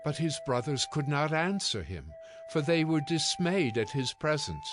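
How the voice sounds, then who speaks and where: A man narrating scripture from a script in a measured, solemn storytelling manner. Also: a little high, very animated, over music.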